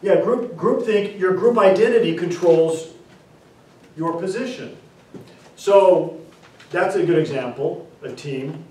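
A man in his forties teaching a class, explaining terms through repeated examples and stressing key words slowly. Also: high voice, dark tone. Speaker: instructor. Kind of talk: classroom lecture. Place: classroom, indoors. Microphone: far camera. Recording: echoey classroom from far off.

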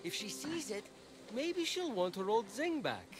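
A catty young messenger god in a game, high lively voice, breezy and flippant. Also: male voice, a little slow, over music. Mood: scheming, catty. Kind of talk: video game cutscene acting.

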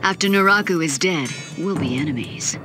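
An actress playing a cool, cynical wind demon in an anime game, aloof and sardonic. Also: female voice, lowish voice, lively intonation, normal speed, music in the background, natural voice. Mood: cool, guarded.

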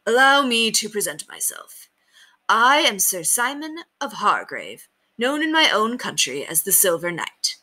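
Woman putting on a proud, bright-voiced tiny knight. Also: mid-range voice, big pitch swings, unhurried, pitched above the performer's own voice, exaggerated voice. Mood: stately. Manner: courtly, archaic, formal speech. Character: tiny male knight, proud, formal, chivalrous, unafraid.

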